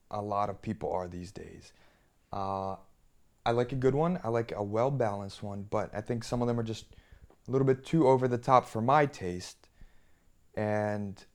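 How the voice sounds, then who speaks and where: A man from Bulgaria in a casual chat, speaking a bit hesitantly as he thinks aloud. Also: medium pitch. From Bulgaria, later grew up in the US.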